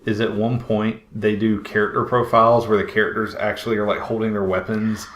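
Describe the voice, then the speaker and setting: A man's voice: lowish voice, little pitch movement, a little fast, fairly bright tone. A man co-hosting a podcast, chatting casually and in a rambling way about films. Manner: rambling, uses 'like' often. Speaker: podcast co-host. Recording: roomy, echoing voice pickup.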